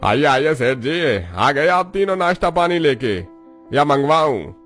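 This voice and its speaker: A man's voice: a little high, animated, measured pace, fairly bright tone, soft background music. A man voicing a blustering, scolding but fair factory boss in a cartoon story. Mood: sarcastic. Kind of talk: Hindi cartoon story acting.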